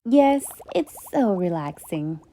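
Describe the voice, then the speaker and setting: A woman's voice: mid-range voice, very animated, takes it slowly, warm tone, no echo, pitched below the performer's own voice. A woman voicing a neat, fussy, dainty female unicorn in a children's storybook. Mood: pleased. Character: neat, prissy female unicorn, proud of beauty. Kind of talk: children's storybook character acting.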